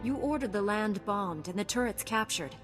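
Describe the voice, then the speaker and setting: A woman playing a calm, resolute young knight in a video game, composed, courteous and determined. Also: medium pitch, normal speed, lightly acted. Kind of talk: cinematic video game hero acting. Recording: long hall reverb, music behind.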